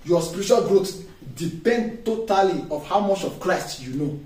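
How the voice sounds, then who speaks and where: A man's voice: sits high, expressive, normal speed, a little mellow. A man, a pastor preaching a sermon with emphatic call-and-response questions to his congregation. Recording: echoey room, distant mic, faint noise.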